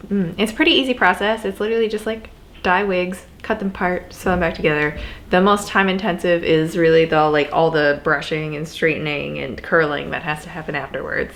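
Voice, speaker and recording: A young woman talks casually to her viewers with a stuffy, sniffly nose, full of little laughs and fillers. Her voice sits a bit low for a woman. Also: gravelly. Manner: chatty, laughs often, many fillers. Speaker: cosplay vlogger. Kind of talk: casual talk to camera.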